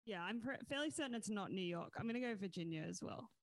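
A man, a comedian guest, making quick teasing jokes in casual chat. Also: sits high, lively intonation.

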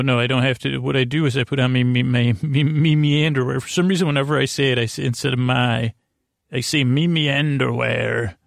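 A man hosting a bedtime podcast in a creaky, lulling voice, meandering haltingly and interrupting himself through a silly monologue. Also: medium pitch, dry recording, clean studio sound. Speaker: host of a bedtime sleep podcast. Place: small closet, close and dry.

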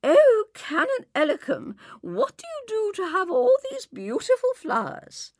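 A woman's voice: very high-pitched, wildly swooping pitch, a little slow, dry recording, clean studio sound, voice pushed up, full character voice. A woman voicing a simpering, gushing lady visitor in a literary reading.

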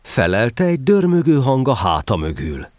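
A man's voice: medium pitch, huge pitch swings, a little mellow, no room sound. A man narrating a children's story expressively as part of an audio drama.

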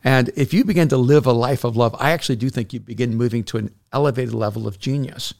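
A man's voice: mid-range voice, fast, gravelly, darkish tone. A middle-aged man from El Salvador, a pastor and author speaking as a podcast guest, talking in an earnest, storytelling way with frequent restarts. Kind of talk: reflective casual conversation.

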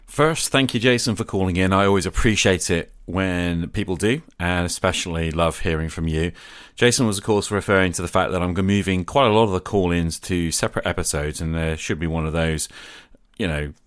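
A man hosting a podcast in a conversational, earnest and reflective way, with measured phrasing. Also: deep voice, smooth, no room sound, studio quality. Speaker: podcast host. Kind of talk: conversational podcast talk on tabletop roleplaying.

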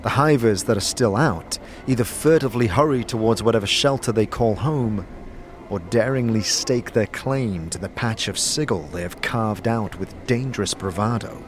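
A man's voice: fairly low pitch, normal speed, slight background noise. A man giving dramatic, theatrical scripted narration for a fantasy audio drama, telling the story vividly in the second person.

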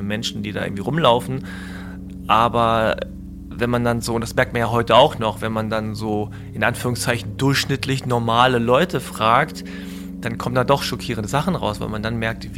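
A man's voice: mid-range voice, steady tone, normal speed, background noise. A man in his mid-forties chatting casually, reflective and often hesitant as he searches for words. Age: mid-40s. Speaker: podcast discussion partner. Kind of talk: casual conversation.

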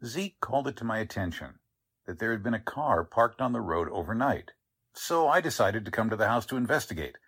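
A man's voice: lowish voice, big pitch swings, fairly quick, a bit bright, husky, full character voice. Man playing a chatty small-town doctor, swooping intonation. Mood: hesitant. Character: genial, chatty small-town male doctor, easygoing, slightly theatrical. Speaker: actor in a radio drama. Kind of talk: 1940s radio drama acting.

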